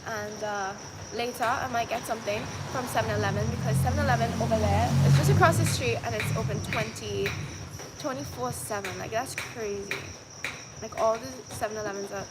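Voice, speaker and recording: A woman's voice: on the high side, a little fast. A young woman with a Trinidadian accent, chatting casually to her live viewers with lots of fillers and restarts. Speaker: vlogger. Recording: loud background noise.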